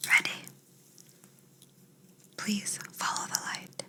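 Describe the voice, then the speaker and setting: Slow, whispering female alien examiner, acted by a woman. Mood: soothing, clinical. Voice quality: soft, breathy whisper. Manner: slow, soothing, measured instructions with murmured hums. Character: curious, gently clinical female alien examining an abducted human. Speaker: ASMR roleplay performer. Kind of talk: whispered ASMR personal-attention roleplay.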